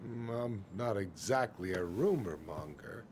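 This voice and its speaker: An actor playing an evasive, flustered middle-aged store boss in a video game, hesitant and fumbling. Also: male voice, fairly low pitch, animated, a little slow, a little mellow, slight background noise. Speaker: shop boss.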